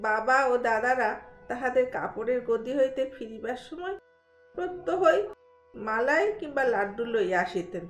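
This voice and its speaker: A woman narrating a literary audio story in an expressive storytelling style. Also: high-pitched. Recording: roomy echo under soft music. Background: soft background music.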